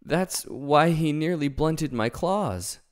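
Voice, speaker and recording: A man's voice: fairly high pitch, lively intonation, takes it slowly, clear, resonant tone, voice pushed up. A man voicing a big cowardly male lion in a storybook reading, meek and ashamed. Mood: timid.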